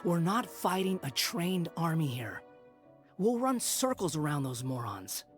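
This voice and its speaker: An arrogant young man for a video game. He is impatient and sneering, drawling slowly with wide swings in pitch over music. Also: very animated. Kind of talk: scripted video game voice line. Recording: game audio with music behind.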